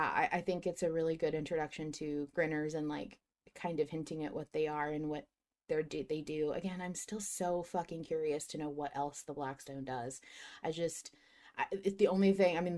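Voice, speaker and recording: A woman's voice: low voice, steady tone, normal speed, a little mellow. A woman hosting a fan podcast in casual, effusive, enthusiastic chat. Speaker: podcast host.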